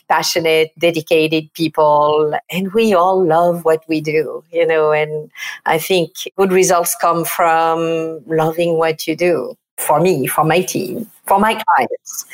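Woman, French accent, middle-aged, lowish voice, enthusiastic, podcast guest. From France, Provence. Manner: lively, enthusiastic storytelling. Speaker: guest, PR agency president. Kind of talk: podcast interview.

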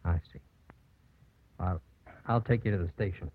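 A man's voice: low-pitched, normal speed, natural voice. An actor playing a subdued, unhappily married man of politics in a 1950s radio drama. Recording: tinny, noisy, crackly vintage radio recording.

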